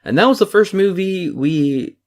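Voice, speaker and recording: A man's voice: sits high, expressive, moderate pace. A young man co-hosting a podcast in casual chat, talking steadily and reeling off dates and figures. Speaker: podcast co-host.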